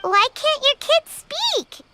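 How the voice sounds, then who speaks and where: A woman's voice: extremely high pitch, very animated, takes it slowly, very noisy, full character voice. A woman voicing a tiny floating girl companion who is chirpy and curious and talks about herself in the third person, over game music. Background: loud game music.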